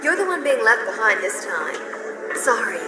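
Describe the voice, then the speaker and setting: Scornful rival woman, mocking tone, lively pitch, echoey, music underneath. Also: normal speed. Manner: cool, mocking. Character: bitter young woman rival, cold and scornful. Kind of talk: video game cutscene acting. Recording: echoey game audio with music.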